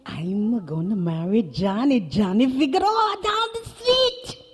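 A woman voicing an excited young woman who is newly engaged, with a high, shrill, gushing voice. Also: unhurried, slightly rough, full character voice. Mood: ecstatic.

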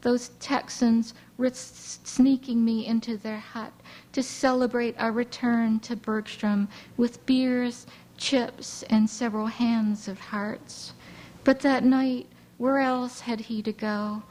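A woman's voice: on the high side, little pitch movement, rough recording. Woman poet reading her verse. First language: Hawaiian Pidgin English. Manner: measured, unhurried reading with soft, halting asides between poems. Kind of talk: poetry reading to an audience.

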